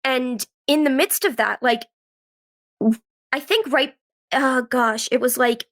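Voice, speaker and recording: A woman in her twenties chatting casually as an interview guest, telling stories in an animated way with quoted asides. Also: high-pitched, slow. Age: 20s. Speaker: podcast guest, actress and writer.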